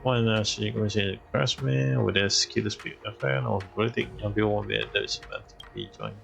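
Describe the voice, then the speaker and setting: A man's voice: fairly low pitch, lively intonation, a little fast, gravelly, fairly bright tone, soft background music. A man streaming a game, casually reacting and muttering along in a loose, mumbled way. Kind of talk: casual reactions and reading along while playing.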